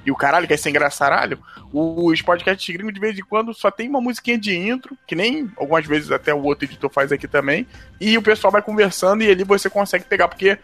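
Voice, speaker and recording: A man's voice: high-pitched, animated, quick pace, fairly bright tone. A Brazilian man co-hosting a podcast, talking in a laid-back, jokey way and swearing freely. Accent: Brazilian Portuguese. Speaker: podcast co-host. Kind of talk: casual chat.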